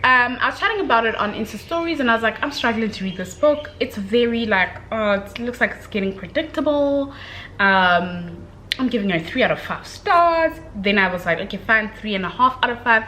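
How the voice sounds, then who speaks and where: A woman giving a casual book review in an expressive, slangy way, talking to viewers like girlfriends with emphatic check-ins. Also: a little high, brisk, a little hiss or hum, low-quality recording.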